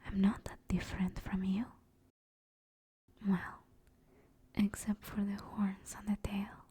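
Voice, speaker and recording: A woman playing a female sleep paralysis demon in a whispered ASMR roleplay, soft, intimate and breathy. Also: mid-range voice. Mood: flustered, apologetic. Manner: soft, intimate, teasing then soothing. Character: female sleep paralysis demon, teasing menace turning apologetic and tender.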